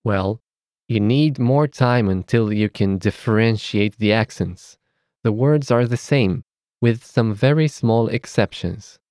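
A man with a Romanian accent teaching a recorded language lesson, saying each letter slowly and clearly. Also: fairly low pitch, darkish tone, no room sound, clean studio sound. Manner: measured, careful enunciation of letters.

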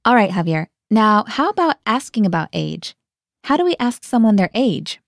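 A woman's voice: mid-range voice, big pitch swings, moderate pace, vocal fry, no echo, studio quality. A woman hosting a scripted language lesson, guiding the learner and translating each phrase into English.

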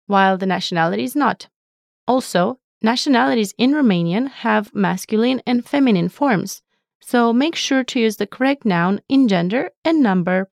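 A woman teaching a language, saying each word slowly and clearly twice in a scripted lesson. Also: fairly high pitch, no echo, studio quality. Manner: slow careful pronunciation with repeats. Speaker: native-speaker language teacher.

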